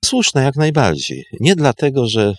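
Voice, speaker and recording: A man explaining history off the cuff as a historian, emphatic and halting, often correcting himself and repeating phrases. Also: medium pitch, wildly swooping pitch, dry recording, studio quality. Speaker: historian, interview guest. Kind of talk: spoken historical explanation, unscripted.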